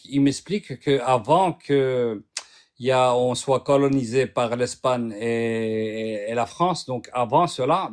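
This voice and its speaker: A man from northern Morocco calling into a debate, talking haltingly with many restarts while he searches for words. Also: medium pitch, darkish tone. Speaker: caller in a debate. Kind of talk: hesitant casual argument.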